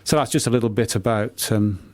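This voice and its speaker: A middle-aged man answering in a radio interview, halting and often repeating words as he explains. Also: fairly low pitch, darkish tone, dry recording. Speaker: author and poet being interviewed.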